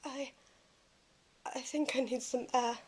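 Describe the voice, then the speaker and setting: A woman's voice: high voice, steady tone, slow, darkish tone, breathy edge, heavily acted. A woman playing an emotional, wounded young woman in an audio drama, earnest and dramatic in delivery. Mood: flustered.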